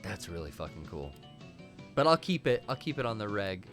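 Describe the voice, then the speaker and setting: Male streamer, animated and expressive, casual cursing, light music in background. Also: fairly low pitch, normal speed. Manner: animated, swears casually, reacts to gameplay. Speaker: streamer playing the game. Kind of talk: casual gaming chat.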